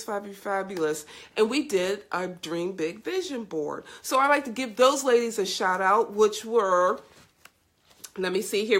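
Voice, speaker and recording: A woman's voice: mid-range voice, a bit bright. A woman hosting a casual live stream, upbeat and effusive, greeting viewers by name, with a congested, scratchy voice from a cold. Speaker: host of a live video show.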